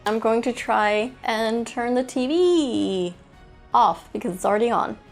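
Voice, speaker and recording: A woman's voice: a little high, measured pace, creaky, over loud music. A woman giving a casual, unscripted product review as a host, chatting and thinking aloud as she handles items.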